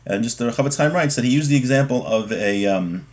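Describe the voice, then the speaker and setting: A man's voice: medium pitch, expressive, brisk, a little mellow. A man giving an informal religious lesson, reading from a text and explaining it point by point, often rephrasing as he goes. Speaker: religious teacher.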